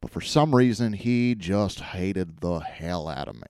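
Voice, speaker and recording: A man voicing a brash, folksy Southern gentleman politician with a Southern US accent in a mock quotation. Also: sits low, lively intonation, unhurried, smooth, dry recording, clean studio sound. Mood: indignant, folksy. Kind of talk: mock quotation in a comedy podcast.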